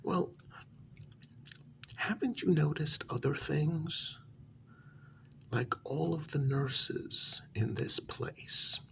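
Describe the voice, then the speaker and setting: A man playing a smug, deluded asylum inmate in a soft-spoken ASMR role-play, breathy and half-whispered, talking down to his listener in a halting, condescending way. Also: a little high, slight background noise, exaggerated voice. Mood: smug, insistent. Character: deluded male asylum inmate, smugly convinced he created the world.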